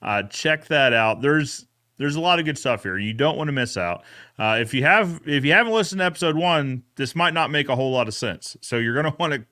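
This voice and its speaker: Bright, forceful male podcast host. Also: medium pitch, animated, brisk. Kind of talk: casual conversational recap talk.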